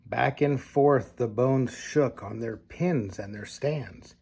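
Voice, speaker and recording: An older man reading a children's storybook aloud like a grandfather, with expressive, deliberate phrasing and casual asides. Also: medium pitch, gravelly, slightly rough.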